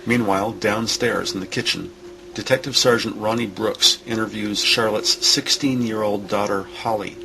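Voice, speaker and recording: A man's voice: fairly low pitch, steady tone, moderate pace, creaky, noisy background. A man reading scripted narration for an audio drama.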